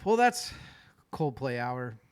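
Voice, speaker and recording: A man's voice: medium pitch, big pitch swings, slow, clear, resonant tone. A man in his thirties hosting a music podcast, joking and swearing freely in casual chat. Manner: profane, joking. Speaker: podcast host.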